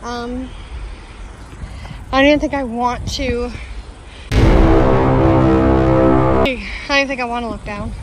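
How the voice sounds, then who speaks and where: A woman's voice: on the high side, steady tone, normal speed, soft background music. A young woman from Canada chatting casually to her phone camera on an outdoor outing, with lots of fillers and giggles. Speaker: vlogger. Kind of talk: casual travel vlog. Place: outdoors by a river in a forest. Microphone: phone held at arm's length.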